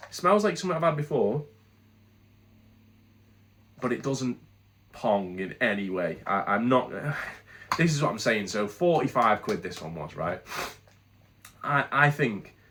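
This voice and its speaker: A man's voice: mid-range voice, a bit bright. A British man with a congested head cold, casually reviewing products in a chatty, candid, rambling way with frequent restarts. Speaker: product reviewer, channel host.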